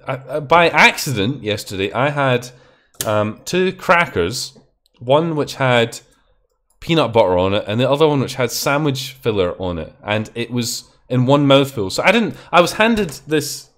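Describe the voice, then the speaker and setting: Young Scottish host, casual and rambling, swears freely, restarts sentences. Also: male voice, medium pitch, animated, moderate pace, a bit bright. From Scotland. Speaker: stream host. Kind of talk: casual chat on a live stream.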